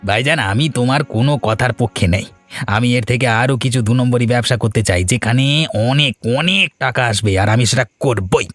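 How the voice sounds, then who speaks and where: A man's voice: mid-range voice, moderate pace, a bit bright, dry recording, soft background music, natural voice. A man voicing a greedy, menacing crook in a cartoon, with a taunting, threatening manner. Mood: greedy, scheming. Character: greedy, menacing male crook, a defiant younger brother. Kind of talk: cartoon voice acting.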